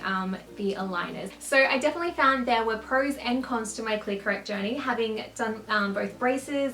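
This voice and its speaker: A young woman vlogging in a casual, upbeat way, with a slight lisp and a quick laugh. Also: fairly high pitch, a bit bright. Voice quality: slight lisp from clear aligners. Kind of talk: casual chat to camera. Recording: roomy echo.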